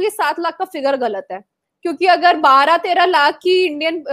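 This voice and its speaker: A high-pitched woman from Kashmir argues her case with passion. She fires off rhetorical questions and stumbles through frequent hesitations as she pushes her points. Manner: impassioned, argumentative, rhetorical questions with many hesitations. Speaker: interview guest. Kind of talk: heated debate answer.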